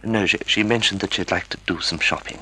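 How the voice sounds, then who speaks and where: An actor playing a timid, polite, half-blind older husband who hesitates and stammers, in an old-time radio drama. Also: male voice, low voice, brisk, sharp tone, breathy edge, full character voice, poor audio. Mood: polite, hesitant. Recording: vintage radio drama recording.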